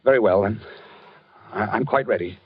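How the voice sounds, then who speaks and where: A husky sleep-talking duelist. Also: male voice, fairly low pitch. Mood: dreamy, formal. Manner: formal, polite, measured sleep-talk. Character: gentleman calmly preparing for a duel in his sleep. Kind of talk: 1940s radio acting. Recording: dull vintage radio recording.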